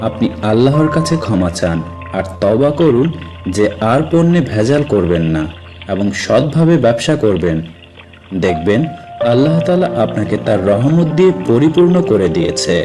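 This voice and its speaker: A man's voice: mid-range voice, big pitch swings, normal speed, natural voice. A man playing an honest, pious shopkeeper in a moral cartoon, preaching patiently and earnestly. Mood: earnest, reassuring. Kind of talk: moral story cartoon dialogue. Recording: music behind the voice.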